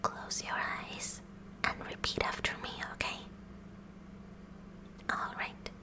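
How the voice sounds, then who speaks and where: Casting: a woman to play an oddball, eager schoolgirl science president, fully whispered, unhurried and officious, for an ASMR roleplay. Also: natural voice. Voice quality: breathy, unvoiced whisper. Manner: slow, deliberate, mock-official with awkward stammers. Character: kooky, eager teenage girl science club president.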